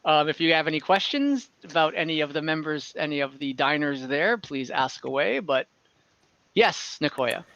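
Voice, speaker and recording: A man working as game master, improvising narration for an online tabletop game in a halting way, searching for words. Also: a little high, expressive, bright, forceful tone, no room sound, dull audio.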